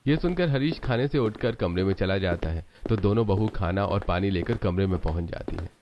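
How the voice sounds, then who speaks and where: A man's voice: deep voice, lively intonation, fairly quick, muffled recording. A man narrating a scripted cartoon family story.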